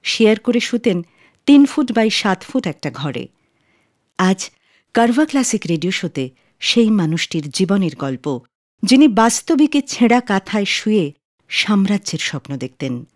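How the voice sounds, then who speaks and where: Lively woman radio host, dry studio sound. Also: medium pitch, normal speed, no room sound. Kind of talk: scripted radio storytelling and song introductions. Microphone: close studio microphone, dry sound. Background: faint music.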